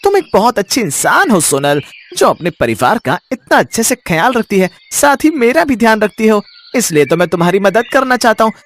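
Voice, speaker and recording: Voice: medium pitch, very animated, fairly quick, close to an everyday voice. A performer voicing a kindly magical talking parrot in a cartoon story, earnest and encouraging.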